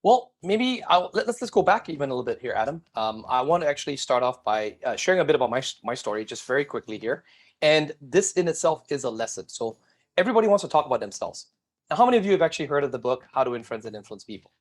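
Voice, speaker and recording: A middle-aged man from Singapore, a guest telling his life story in an energetic interview and addressing the viewers directly. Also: mid-range voice, fast.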